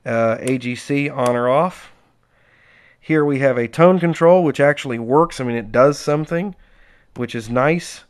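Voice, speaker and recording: Guy, mid pitch, casually walking through a gadget, chatty and dry. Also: measured pace. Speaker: presenter demonstrating equipment. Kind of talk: casual unscripted product demonstration. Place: indoors at home, close-up tabletop demonstration.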